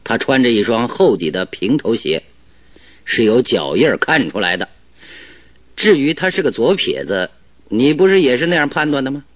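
An actor playing a confident, cheerful detective in a radio drama, explaining things in an animated, self-assured, didactic way. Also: male voice, medium pitch, normal speed, dry recording. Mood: assured deducing. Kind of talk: Chinese radio drama acting.

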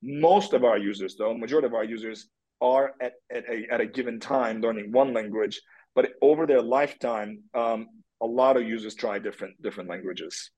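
A man's voice: mid-range voice, brisk, darkish tone, husky, low-quality recording. A man from Turkey, a guest in an interview, answering at length with many fillers and restarts. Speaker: guest, tech product executive.

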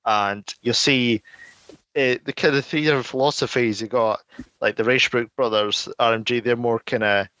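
A man's voice: medium pitch, fairly even intonation, moderate pace, pushed and bright, dry recording, muffled recording. A man co-hosting a podcast, chatting casually and explaining things at length with frequent laughs. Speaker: podcast co-host. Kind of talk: casual chat.

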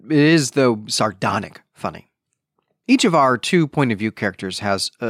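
Animated man hosting a book podcast. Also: mid-range voice, no room sound, clean studio sound. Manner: self-correcting asides, explanatory. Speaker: podcast host. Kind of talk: conversational book review and plot recap.

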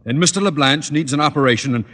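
An actor playing a pompous, exasperated middle-aged school principal in an old radio sitcom, with a sputtering delivery. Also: male voice, on the high side, quick pace, close to an everyday voice. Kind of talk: 1950s radio sitcom acting. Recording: vintage radio recording, noisy, reverberant.

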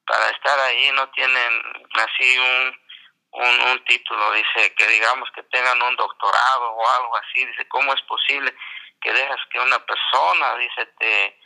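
A man's voice: medium pitch, little pitch movement, normal speed, sharp tone, rough recording. An older man whose first language is not Spanish, telling his story in a radio interview, hesitant with many restarts. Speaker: interview guest, graduate.

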